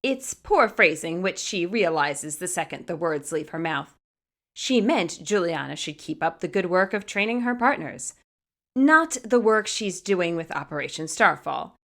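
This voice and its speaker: Animated woman narrating a story. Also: on the low side, measured pace. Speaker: fan fiction narrator. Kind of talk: expressive scripted story narration.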